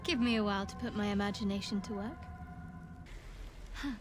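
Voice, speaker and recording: A woman's voice: mid-range voice, a little fast, close to an everyday voice. A woman playing a guarded, devout young cleric in a fantasy video game, dry and teasing. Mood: playful, shy. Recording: clear music behind.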